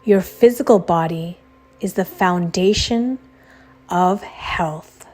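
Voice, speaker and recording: Female meditation guide, unhurried scripted read, mid-range pitch, soft music underneath. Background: soft background music.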